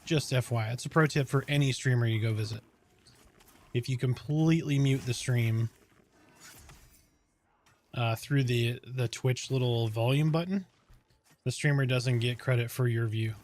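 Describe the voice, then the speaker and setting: Chill game streamer guy, calm soothing voice, mid pitch, rambles thru explanations. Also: normal speed, pauses often. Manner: explains step by step in a relaxed, rambling way. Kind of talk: casual live-stream chat.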